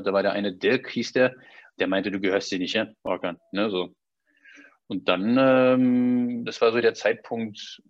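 A man's voice: lowish voice, dry recording. A man, casually telling personal stories and often checking in with a tag question. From Berlin, Germany. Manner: conversational, often tagging statements with a questioning "ne". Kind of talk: casual personal storytelling in conversation. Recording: dull, dry lo-fi recording.

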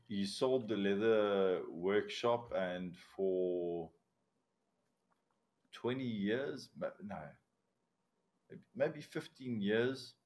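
A South African man, raised speaking Afrikaans, chatting as a podcast guest. His voice sits low, and he tells long, rambling stories with plenty of swearing and laughs. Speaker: podcast guest, commercial diver. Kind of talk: casual chat.

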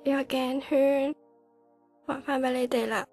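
A self-deprecating nineteen-year-old daughter with a high voice and a Hong Kong Cantonese accent. She is dramatic and sensitive, in a radio drama with music behind her. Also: steady tone, a little slow, darkish tone. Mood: tearful farewell.

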